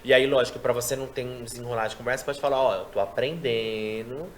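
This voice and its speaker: A man who works as a sign language interpreter, chatting in an interview and explaining his work in a lively way. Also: medium pitch, slight background noise. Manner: quick, explanatory, animated delivery. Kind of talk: casual interview chat.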